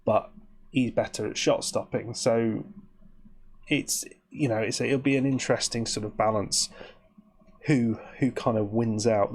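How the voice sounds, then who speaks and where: A man, croaky and sniffly with hay fever, giving rambling, chatty commentary on his game as host. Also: on the low side, darkish tone. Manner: rambling, chatty, with frequent restarts. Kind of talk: casual gameplay commentary.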